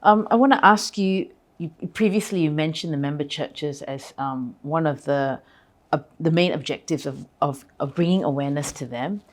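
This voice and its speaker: Low-voiced woman interviewer. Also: normal speed. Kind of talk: conversational interview questions.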